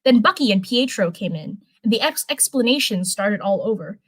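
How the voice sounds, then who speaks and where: A woman streamer reads a story aloud in a mid-pitched voice. Her delivery is casual and informal, slipping easily between narration and chatty, rambling commentary. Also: expressive, normal speed, fairly bright tone, husky, no echo. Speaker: live streamer reading fanfiction.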